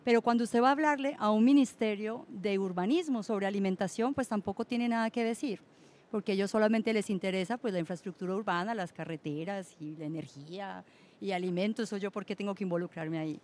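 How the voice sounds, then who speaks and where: A woman's voice: medium pitch, brisk, darkish tone. A woman from an international agency speaking fluently at a conference panel and explaining her points.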